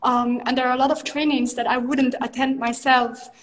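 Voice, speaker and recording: A young woman from the Netherlands with a Dutch accent, speaking earnestly and reflectively as a podcast guest, with many pauses and fillers. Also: sits high, level tone, slight background noise. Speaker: guest, tantra teacher. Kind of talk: casual podcast conversation.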